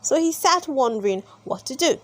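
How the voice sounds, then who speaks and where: High, animated woman reading a storybook. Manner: expressive, deliberate storytelling. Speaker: storybook narrator. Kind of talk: scripted read-aloud children's narration.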